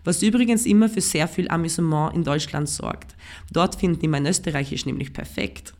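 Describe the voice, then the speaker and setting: A woman from Sweden with a Swedish accent, a writer and performer reading her own comic prose aloud with a wry, self-mocking, deadpan delivery. Swedish is her first language. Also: low-pitched, a little fast.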